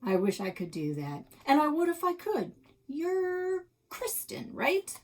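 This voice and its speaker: Woman acting adult male realtor, affable, smooth, persuasive, storybook character. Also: sits high, huge pitch swings, takes it slowly, far from the mic, slight background noise, voice pushed up, full character voice.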